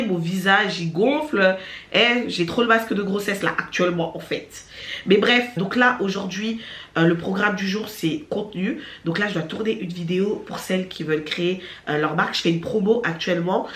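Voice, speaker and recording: A young woman vlogging at home, chatty and animated, with a stuffy nose from a cold. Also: medium pitch, normal speed. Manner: chatty and animated, laughing often. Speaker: vlogger and beauty entrepreneur. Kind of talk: casual vlog talking to camera. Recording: echoey room, voice from far off.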